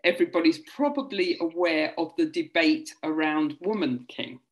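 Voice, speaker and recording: Woman producer on a home video call, lower voice, measured and collaborative tone. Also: animated. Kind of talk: roundtable discussion. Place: home room with bookshelves. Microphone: laptop webcam video call.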